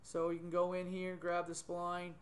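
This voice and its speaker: A middle-aged man teaching a 3D modeling tutorial on screen, thinking aloud with many ums and quick self-corrections, recorded on a desktop webcam mic in a small room. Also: high-pitched, completely flat delivery, slight background noise. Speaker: software tutor.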